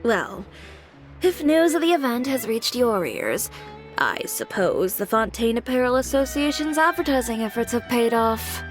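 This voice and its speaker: A woman's voice: on the high side, very animated, moderate pace. A woman voicing a composed, businesslike female fashion designer and shop owner in a game, dry and matter-of-fact. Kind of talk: game voice acting. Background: game music.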